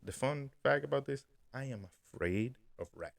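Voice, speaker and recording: A young man co-hosting a podcast, telling a dream story in an animated way, laughing and acting out what people said. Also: medium pitch, normal speed, gravelly. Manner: animated, laughing, acts out quotes. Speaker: podcast co-host. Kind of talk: casual chat, storytelling.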